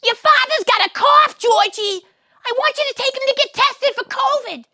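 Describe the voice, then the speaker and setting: A woman voicing a shrill, fussy older mother who nags at full volume. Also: normal speed, bright, forceful tone. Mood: frantic. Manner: screeching, nagging.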